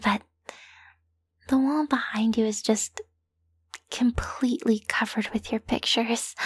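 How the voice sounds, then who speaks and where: A woman voicing a lovesick, possessive yandere kidnapper in an intimate roleplay audio, doting and coaxing in a breathy voice that often sinks into whispers. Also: fairly high pitch, no room sound, exaggerated voice. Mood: gentle, confiding. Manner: doting, coaxing, slow and lingering.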